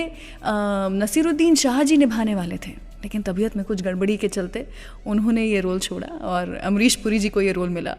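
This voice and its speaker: A woman's voice: medium pitch, a little mellow. A woman with an Indian accent hosting a radio show in a conversational storytelling style, mixing English phrases into her Hindi. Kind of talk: conversational scripted radio storytelling about film music. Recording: long reverb, music bed behind voice. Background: background music.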